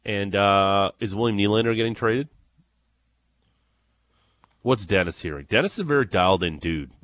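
A man with an Ontario accent hosting a morning sports radio show, chatting and teasing with excitable energy. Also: lowish voice, moderate pace, no echo. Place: radio studio. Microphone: studio microphone.

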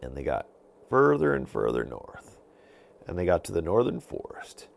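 Deep, gravelly male storyteller. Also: huge pitch swings, normal speed. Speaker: storyteller, podcast host. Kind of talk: animated bedtime storytelling for children.

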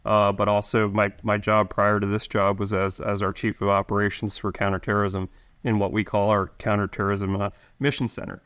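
Man, middle-aged, low voice, halting speech, frequent restarts, intelligence officer guest. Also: completely flat delivery, a bit bright, smooth. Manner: halting, many restarts and fillers. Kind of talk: interview answers.

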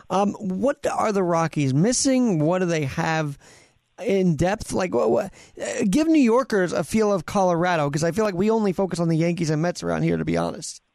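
A man's voice: sits high, moderate pace, vocal fry, darkish tone, no room sound, studio quality. A man hosting a casual sports interview, asking eager, fan-like leading questions.

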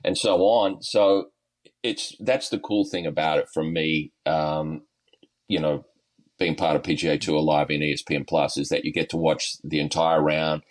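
Guest spot: very low-voiced Australian man, middle-aged, laid-back and chatty, heavy on fillers. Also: fairly even intonation, normal speed. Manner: easygoing, lots of ums and uhs, chuckles often. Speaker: golf coach and guest. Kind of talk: podcast interview, relaxed storytelling.

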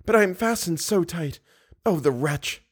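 A man's voice: fairly high pitch, animated, fairly quick, voice pushed up. A man voicing a frightened captive young woman in an audiobook, breathless, urgent and pleading. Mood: desperate, urgent.

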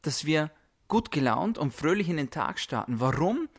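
A man's voice: a little high, big pitch swings, normal speed. A man delivering a motivational podcast monologue as host, emphatic, with rhetorical repetition. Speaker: podcast host, health coach.

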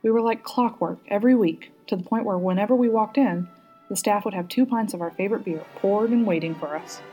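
A woman's voice: mid-range voice, a little fast, mellow, faint music behind, natural voice. A woman voicing a tipsy, playful, nostalgic female president in a storybook reading. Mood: fond.

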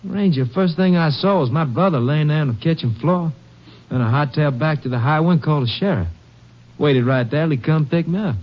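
A man's voice: on the high side, fairly quick, close to an everyday voice. An actor playing an uneasy, defensive country man with a rural Southern accent, hesitant and easily riled, in a 1950s western radio drama. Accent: rural Southern American. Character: uneasy, defensive male Texas farm brother. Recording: muffled old radio recording.

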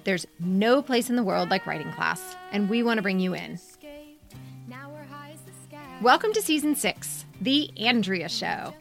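A woman's voice: mid-range voice, lively intonation, measured pace, creaky, pauses often, soft background music. Candid woman co-hosting a podcast. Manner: candid, casually profane. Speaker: podcast co-host and editor. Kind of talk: conversational podcast introduction and commentary.

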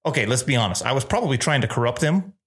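A man's voice: mid-range voice, lively intonation, very fast, a bit bright. A man hosting a casual interview, asking rambling questions and joking between them. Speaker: podcast host.

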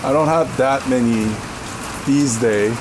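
A man from Korea with a deep voice, answering interview questions in a rambling, casual way with many fillers. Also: slow, noisy background. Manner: rambling, filler-heavy, laughs easily. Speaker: interview guest.

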